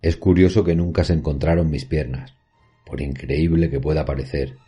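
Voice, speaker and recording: Man acting very deep, dark-voiced haunted professor. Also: fairly even intonation, slightly rough. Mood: sombre recollection. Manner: measured, literary, solemn storytelling. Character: haunted male professor recounting a horror tale. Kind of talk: scripted horror narration. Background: music.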